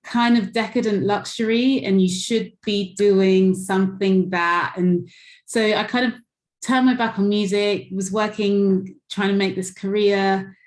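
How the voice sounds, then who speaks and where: A woman musician talks on a video call in a loose, chatty way, full of fillers and small laughs. Her voice sits at an ordinary pitch and rings in a roomy space. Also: steady tone, measured pace. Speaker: musician guest. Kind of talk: casual chat on a call.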